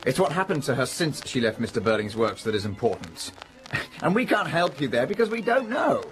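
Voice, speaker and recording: An actor playing a self-assured, dismissive gentleman in a radio drama, with a cool, smooth-talking manner. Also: mid-range voice, big pitch swings, fairly quick, poor audio. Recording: music under the voice.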